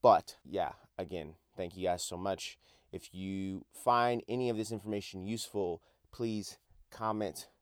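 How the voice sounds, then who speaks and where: Raspy low-voiced male podcast host. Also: darkish tone, dry recording, clean studio sound. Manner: earnest, conversational, asks listeners direct questions. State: hoarse after a night out. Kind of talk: solo podcast monologue.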